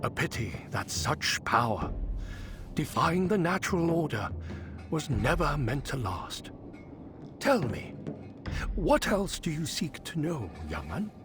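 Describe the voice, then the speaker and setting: An actor playing a sly shapeshifting deity posing as a wandering bard in a video game, speaking in a theatrical, cryptic, teasing manner. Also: male voice, a little high, big pitch swings, takes it slowly, long pauses, music in the background. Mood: solemn, cryptic. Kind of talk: scripted video game dialogue acting.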